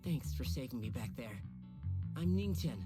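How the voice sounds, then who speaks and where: A man's voice: medium pitch, expressive, normal speed, loud music behind the voice, lightly acted. A man playing a modest, earnest young apprentice mystic in a video game, humble and polite. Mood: grateful, sheepish.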